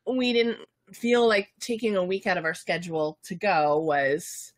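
A woman in her thirties, stuffed up with a summer cold, co-hosting a casual livestream from home in an excitable, chatty way with plenty of ums. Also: on the low side, unhurried, dry recording, studio quality. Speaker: co-host of a game studio livestream. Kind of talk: casual live chat. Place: home room with bookshelves, indoors.

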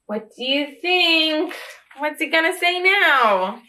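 A woman's voice: high-pitched, animated, takes it slowly, sharp tone. A woman in her thirties hosting children's story time, reading a picture book expressively and asking the listener questions. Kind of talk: animated picture-book read-aloud for young children. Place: small curtained room indoors.

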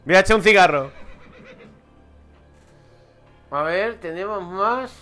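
A man's voice: high voice, slow pace, bright, forceful tone, faint music behind. A man from Madrid with a Madrid Spanish accent, streaming a game and chatting casually, swearing and laughing at his own stories. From Madrid, Spain. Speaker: gaming streamer. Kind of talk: casual let's-play commentary. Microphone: headset.

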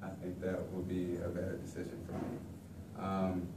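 A young man teaching about capoeira in a relaxed, conversational way, recorded from across a classroom. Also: deep voice, moderate pace. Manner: relaxed, conversational, frequent "you know". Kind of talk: informal classroom talk. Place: large classroom, indoors. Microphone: far camera. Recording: echoey room, distant mic, background noise.

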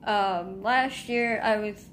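A woman's voice: mid-range voice, slow pace. Teen girl, casual vlog venting, rambling with restarts, phone mic at arm's length, faint background hiss. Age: teens. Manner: rambling, restarts and trailing asides. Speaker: vlogger. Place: small indoor room. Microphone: phone camera at arm's length.

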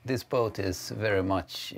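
A Swedish man in his fifties talks through the cabin of his sailboat in accented English, halting often on "uh". His voice sits very low with a gravelly edge, and he speaks slowly. He is recorded on a lapel mic inside the small wooden cabin. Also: a little hiss or hum. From Sweden. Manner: hesitant, many "uh" fillers, friendly. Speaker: sailing vlogger giving a boat tour. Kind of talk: casual guided tour. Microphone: clip-on lapel mic.

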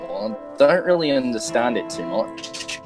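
Man as game bounty hunter, medium pitch, hesitant, repetitive, rambling, casual and eager, music underneath. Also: big pitch swings, measured pace. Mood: earnest. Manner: hesitant, repeats himself, rambling. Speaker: player in a Minecraft roleplay. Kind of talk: improvised roleplay dialogue.

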